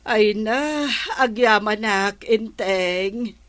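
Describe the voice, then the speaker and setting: A patient, plain-spoken village woman from an Ilocano radio comedy. She speaks with concern and takes her words slowly. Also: medium pitch. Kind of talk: Philippine radio comedy-drama acting.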